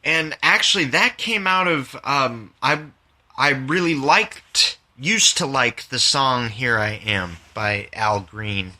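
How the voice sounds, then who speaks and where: A man hosting his own poetry podcast, reading his poems and talking about them in an informal, candid, halting way. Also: medium pitch, bright, forceful tone. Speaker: podcast host and poet.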